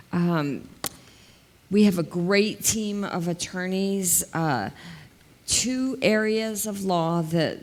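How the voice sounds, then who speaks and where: A woman in her fifties with a big voice, an elder law attorney giving a plain-spoken community talk and explaining step by step with examples. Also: lowish voice. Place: large hall, indoors. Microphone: headset mic. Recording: long hall reverb.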